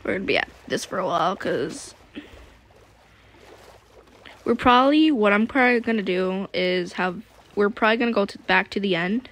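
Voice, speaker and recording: Young streamer, gravelly fry, loose rambling game chat with lots of restarts. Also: medium pitch, brisk, a bit bright. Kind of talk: casual gaming chat.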